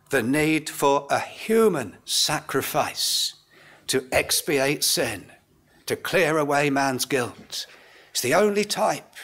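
An older man preaching a sermon in an earnest, solemn, deliberate way, in short phrases with pauses. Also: on the high side, fairly bright tone. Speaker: pastor. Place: indoors, church pulpit. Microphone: lectern gooseneck microphone.

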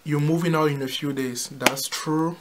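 A man's voice: fairly high pitch, fairly even intonation, moderate pace, background noise. A young man in his twenties co-hosting a casual couple challenge, making plain, matter-of-fact guesses in a small room. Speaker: co-host.

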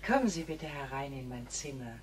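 An enigmatic alien woman from a sci-fi radio play. Her extremely low, husky voice is measured and formal, with a calm, faint disdain. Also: full character voice. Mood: calm, inviting. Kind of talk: science-fiction radio play acting.

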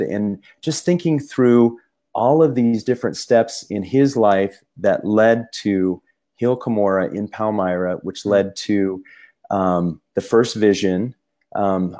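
Low-voiced male co-host, casual and halting, full of fillers and restarts. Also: warm tone. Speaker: podcast co-host. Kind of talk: casual discussion.

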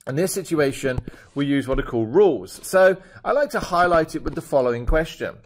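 Jokey British maths teacher, slightly high voice. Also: male voice, animated, fairly quick, a little hiss or hum. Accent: British, shifting toward Australian. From Britain. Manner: jokey, chatty asides to viewers. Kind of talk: screen-recorded maths lesson.

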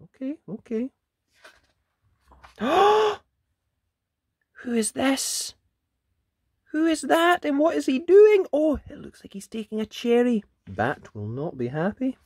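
Children's story host, female, husky voice, unhurried, hugely varied intonation with exaggerated reactions. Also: high voice, no echo, studio quality. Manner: expressive, exaggerated reactions, questioning young listeners. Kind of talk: children's read-aloud with commentary to young listeners.

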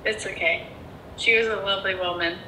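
A woman's voice: medium pitch, steady tone, brisk, pushed and bright, reverberant, a little hiss or hum. A woman bantering casually and playfully on a phone call played over a speaker. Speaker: phone call recipient, a fan.

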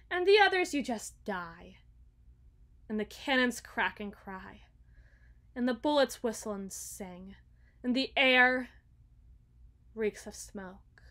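A woman playing a haughty, battle-hardened evil queen in an ASMR roleplay, speaking formally and deliberately with dramatic pauses. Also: medium pitch, sharp tone. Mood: wistful. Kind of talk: ASMR roleplay monologue, scripted.

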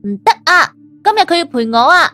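An actress playing a possessive, sharp-tongued young girlfriend in an audio drama, demanding and indignant. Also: very high voice, animated, a little fast, soft background music, full character voice. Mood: jealous indignation. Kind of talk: Cantonese audio drama acting.

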